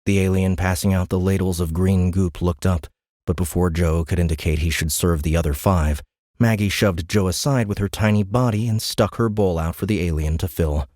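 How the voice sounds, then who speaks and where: A man's voice: low-pitched, fairly even intonation, moderate pace, darkish tone, no room sound, studio quality. A man narrating a science fiction audiobook in a scripted, dramatic storytelling style.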